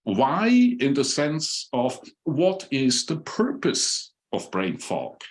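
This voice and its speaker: Slow older Swiss man presenting. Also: medium pitch, very animated. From Switzerland. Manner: slow, deliberate, with pauses and fillers. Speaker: webinar speaker. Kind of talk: presentation over a video call. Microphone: video call.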